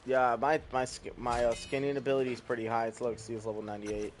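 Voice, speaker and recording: A man's voice: mid-range voice, level tone, fast talker, a little hiss or hum. A man streaming a game, chatting casually to viewers in a laid-back, rambling way with casual swearing. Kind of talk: casual gameplay commentary.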